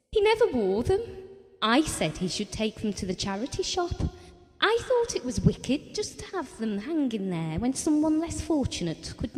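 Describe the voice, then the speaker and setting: A woman's voice: a little high, warm tone. A woman acting a wistful, devoted wife of about forty in an audio drama, telling her story in a reflective, intimate way. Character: Ellen, wistful, devoted wife around forty, quietly wounded. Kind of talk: theatrical audio drama monologue and dialogue. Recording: long hall-like reverb.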